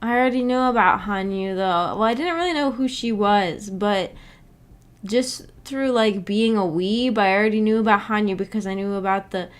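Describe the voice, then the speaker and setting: A woman's voice: medium pitch, moderate pace. A woman hosting a casual book club livestream, chatting with viewers in a hesitant, giggly way and repeating words. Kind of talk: casual livestream chat, reading and answering chat.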